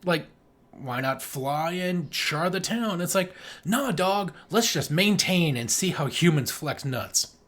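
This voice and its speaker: A man's voice: a little high, lively intonation, slow pace, fairly bright tone. A man co-hosting a podcast, chatting casually about a film in a slangy, joking, sweary way. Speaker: podcast co-host. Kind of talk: casual film review chat.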